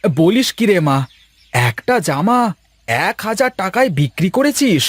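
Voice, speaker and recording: A man playing a worried, gentle farmer father in a village cartoon, with a plaintive, fretful manner. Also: sits high, very animated, unhurried, no echo, exaggerated voice. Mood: surprised, doubtful. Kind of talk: Bengali moral-story cartoon voice acting.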